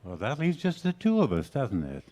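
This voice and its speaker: A man plays a mild bachelor suitor in an old radio comedy. His voice is medium in pitch with plenty of rise and fall. He sounds earnest, courteous and innocently oblivious. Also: huge pitch swings, moderate pace, warm tone, muffled recording. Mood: earnest, hesitant.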